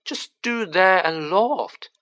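A storyteller voicing a gossipy, excitable young working-class man who talks colloquially and dramatically, in an audiobook reading. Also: mid-range voice, takes it slowly, voice pushed up. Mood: awed, gossipy. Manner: colloquial, dramatic, dropped grammar, tag questions.

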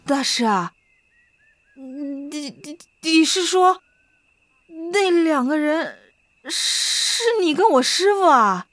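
女声优演的天真少女大夫，音调很高，起伏灵动. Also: female voice, sits high, lively intonation, slow pace, fairly bright tone, full character voice. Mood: startled, puzzled. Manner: wide-eyed, hesitant when startled. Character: naive young female doctor disguised as a man, curious. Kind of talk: Chinese costume audio drama acting.

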